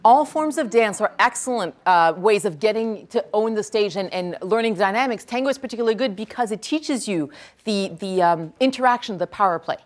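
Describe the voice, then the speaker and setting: French lady giving a talk, answers questions from the crowd, upbeat, repeats them back first. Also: medium pitch. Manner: energetic, quick to praise, repeats each question aloud. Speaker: speaker answering audience questions. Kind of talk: lecture Q&A. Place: university lecture hall.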